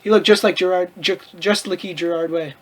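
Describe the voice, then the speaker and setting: A man's voice: high-pitched, fairly even intonation, normal speed. A man hosting a comedic read-aloud of fan fiction, with theatrical, mocking asides and casual commentary.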